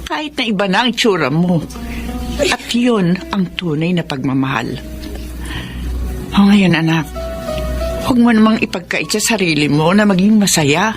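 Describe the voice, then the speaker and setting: An actress playing a worried, doting middle-aged mother in a Filipino radio melodrama, expressive and pleading. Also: on the low side, moderate pace, long pauses, natural voice. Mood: earnest, persuading. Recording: drama with music bed behind.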